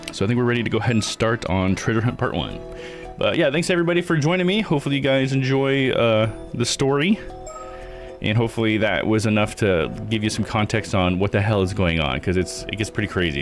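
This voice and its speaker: A man's voice: fairly low pitch, animated, fast talker, over music. A man hosting a live game playthrough, chatting informally and rambling through his commentary. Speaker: live streamer and host.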